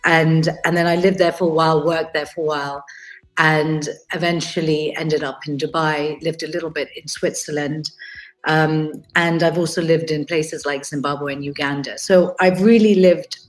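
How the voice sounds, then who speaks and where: A woman's voice: low-pitched, steady tone, moderate pace, soft background music, low-quality recording. A middle-aged woman from Kenya with a British accent, chatting casually about her life as a guest artist over a video call, with many fillers and restarts.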